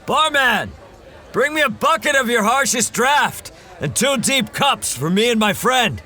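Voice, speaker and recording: An actor playing a wry, self-assured monster hunter in an audio drama, calm and measured. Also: male voice, very high-pitched, exaggerated voice. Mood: boisterous.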